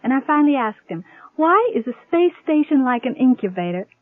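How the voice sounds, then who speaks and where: A woman's voice: high voice, very animated, a little fast, dry recording. An American woman acting a teasing, tender new mother in a 1950s science fiction radio drama. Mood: amused, teasing. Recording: tinny old radio broadcast recording.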